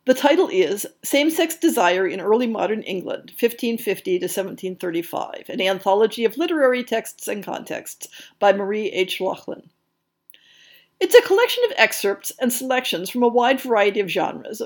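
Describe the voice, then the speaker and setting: Female podcast host, medium pitch, scripted narration, articulate and bookish.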